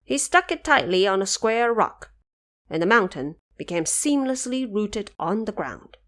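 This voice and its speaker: A woman reads scripted narration for an audio drama of a mythic tale. Her voice sits at a middle pitch and moves up and down freely, giving the storytelling a very animated shape. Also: measured pace.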